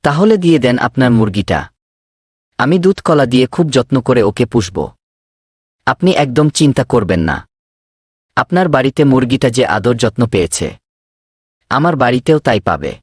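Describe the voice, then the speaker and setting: A man voicing a pushy swindler in a cartoon, husky, insistent and wheedling. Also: mid-range voice, big pitch swings, moderate pace, long pauses, no room sound, studio quality. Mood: coaxing. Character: pushy male swindler posing as eager chicken buyer. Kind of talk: cartoon dubbing.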